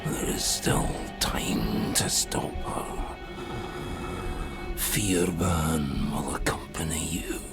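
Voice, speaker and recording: An actor playing a tormented, guilt-ridden man with a whispery, strained, halting delivery in a dark fantasy game. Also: very deep voice, over loud music, full character voice. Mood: urgent, pained. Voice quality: whispery, gravelly.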